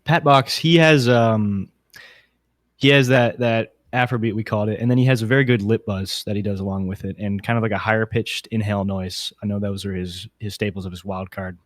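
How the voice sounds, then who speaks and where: A man with a slightly low voice co-hosts a casual podcast discussion. The sound is very dry, with hardly any room on it, and he talks in an informal, conversational way. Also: steady tone, a little fast, no room sound. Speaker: podcast co-host.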